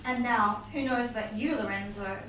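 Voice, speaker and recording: Heard from afar in a very echoey, noisy room, a spirited young daughter in love played by a woman speaks Shakespeare's lines. Her voice is rather high, eager and theatrical. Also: normal speed, fairly bright tone, close to an everyday voice. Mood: eager, coy. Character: spirited, lovestruck young daughter eloping in disguise. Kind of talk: staged Shakespeare reading. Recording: very echoey room, distant, clear noise.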